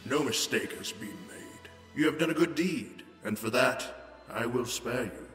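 An actor playing a menacing male warlord who talks slowly and grandly, in an echoey space with music. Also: medium pitch, lively intonation, a bit bright. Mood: gloating. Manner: slow, grandiose, gloating with cackling laughs. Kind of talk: fan audio drama acting. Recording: roomy echo with long reverb and music.

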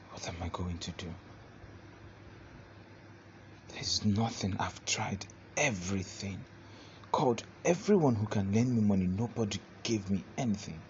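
A man's voice: sits high, sharp tone, reverberant, heavily acted. A man acting a broke husband in a comedy skit, pleading with and fending off his wife. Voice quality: pleading and defensive. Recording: dull lo-fi sound with faint noise.

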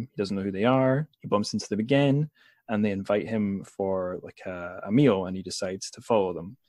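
A man's voice: fairly low pitch, a little mellow, dry recording. A man hosting a podcast, chatting and summarizing in an informal, inquisitive way. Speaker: podcast host. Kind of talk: casual chat and informal summarizing.

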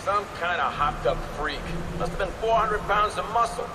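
An actor playing a brisk, rattled police officer in a video game, reporting in clipped phrases over the radio. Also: male voice, high-pitched, animated. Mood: urgent, reporting. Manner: clipped, matter-of-fact. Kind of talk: video game cutscene acting. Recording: distant, echoey, noisy radio transmission.

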